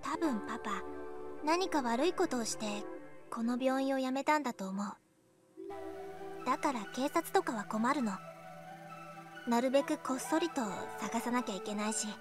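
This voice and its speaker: A woman's voice: high voice, animated, fast talker, pauses often, music in the background. A woman playing a mysterious, mischievous and affectionate young girl in a Japanese visual novel. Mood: hesitant.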